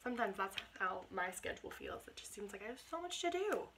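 An American woman in her twenties reads a picture book aloud to children with asides, in an expressive, encouraging manner. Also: medium pitch, a little fast. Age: 20s. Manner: expressive, encouraging, addressing young listeners. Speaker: teacher reading aloud to children. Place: small bedroom indoors. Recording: clear background noise, home camera microphone.

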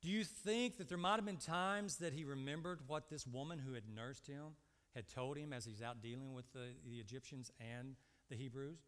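A man's voice: mid-range voice, moderate pace, hardly any pauses, fairly bright tone, clear, resonant tone. A man in his fifties preaching a sermon emphatically, posing short rhetorical questions and repeating himself. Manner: asks the congregation short rhetorical questions and answers them. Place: indoor church stage at a lectern. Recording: reverberant hall sound.

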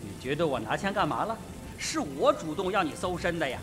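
Sardonic colonel played by a male actor for a radio whodunit: higher-pitched, very animated, needling and self-possessed. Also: fairly quick, music in the background. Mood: scornful, defiant. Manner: mocking, provocative. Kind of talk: Mandarin radio mystery drama acting.

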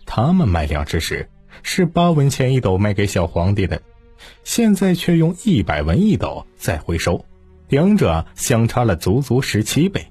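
A man's voice: on the low side, a little mellow, breathy edge, no echo. A man narrating an audiobook as a dramatic storyteller.

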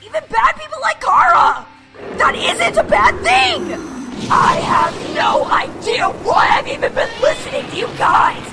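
A woman's voice: extremely high pitch, big pitch swings, a little slow, creaky, raised voice, over loud music, exaggerated voice. A woman voicing a small foul-mouthed talking flower in a comic dub, ranting with indignant protectiveness. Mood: furious, indignant. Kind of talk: cartoon comic dub acting.

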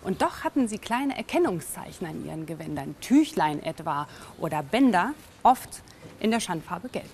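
A woman giving scripted documentary narration in a measured, even storytelling delivery. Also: lowish voice, a little hiss or hum. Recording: narration over loud music.

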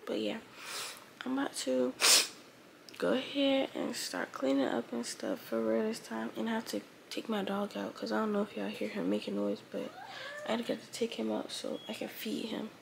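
A teenage girl in her bedroom, talking casually to her phone in a sleepy, raspy voice as if she just woke up. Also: fairly high pitch, expressive, moderate pace, noisy background. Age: teens. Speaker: vlogger. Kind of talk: casual morning vlog talk. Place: bedroom, indoors. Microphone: phone held close at arm's length.

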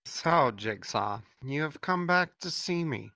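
A man playing a calm, sinister male therapist who urges his patient toward cannibalism. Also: a little high, slow pace, creaky, dry recording, exaggerated voice. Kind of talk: comedy skit acting.

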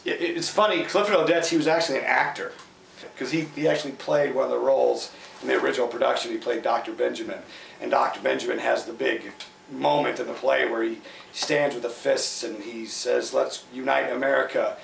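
A man's voice: mid-range voice, fairly even intonation, brisk, creaky, far from the mic. A man, a theatre director, informally explaining a play and its themes. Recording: echoey room, off-mic, faint noise.

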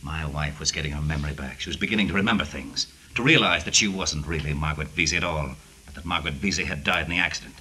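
Cold cornered killer, terse, hard bright edge on a husky voice, old radio crime show. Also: male voice, a bass voice, full character voice. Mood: cold menace. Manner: terse, grim, measured. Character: cold, cornered male wife-murderer. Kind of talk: 1940s radio noir acting.

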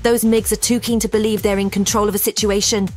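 A woman's voice: medium pitch, level tone, quick pace, soft background music, natural voice. A woman playing a proud enemy pilot in an audio drama, curt and disdainful. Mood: scornful. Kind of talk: scripted audio drama acting.

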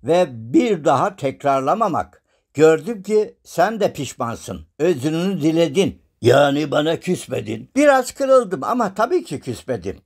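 High voiced polite flowery cartoon gentleman, theatrical, wordy, kind. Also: sing-song, unhurried, slightly rough, exaggerated voice. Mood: gentle, forgiving. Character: Hacivat, courteous, wordy, forgiving man in shadow-puppet cartoon. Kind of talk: animated children's cartoon acting.

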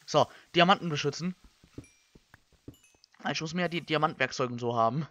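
A young man from Germany, giving casual Let's Play commentary and thinking out loud in colloquial language. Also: fairly high pitch, normal speed. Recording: slightly dull, lo-fi microphone.

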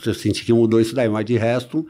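A man in his forties with a Brazilian Portuguese accent and a medium-pitched voice tells his story casually. He speaks haltingly, doubling back on words and tagging his phrases as he goes. He is close on a studio microphone. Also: fairly even intonation, warm tone. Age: 40s. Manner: halting, repeats words, peppered with "né" tags. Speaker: podcast guest, body-modification enthusiast. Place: small podcast studio. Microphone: close studio microphone on boom arm.

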